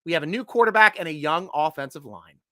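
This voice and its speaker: Bright-voiced male sports show host. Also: lively intonation, fast, no room sound, clean studio sound. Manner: loose and joking, swings from loud shout-outs to low mutters. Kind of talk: live sports show chat reading viewer comments.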